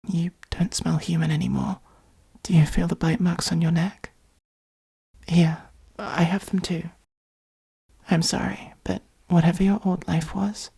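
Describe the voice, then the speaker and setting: Woman as a caring vampire, very breathy whisper, lowish pitch, patient, reassuring, comforting ASMR roleplay. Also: mellow, heavily acted. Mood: gentle, apologetic. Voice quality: breathy, creaky. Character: gentle, protective adult vampire caring for a fledgling.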